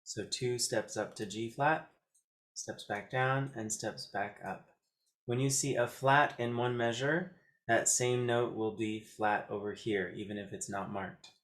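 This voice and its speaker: Slow-paced man teaching piano step by step. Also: lowish voice, steady tone, fairly bright tone. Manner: deliberate, counting out notes and fingerings in short phrases. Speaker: piano teacher, host of video lessons. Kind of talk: step-by-step instructional demonstration. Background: piano notes.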